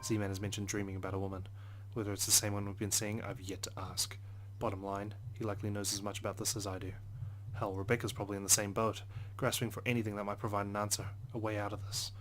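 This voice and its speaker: Quick-reading man with an even, low voice. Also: soft background music. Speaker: let's play narrator. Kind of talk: reading visual novel narration aloud.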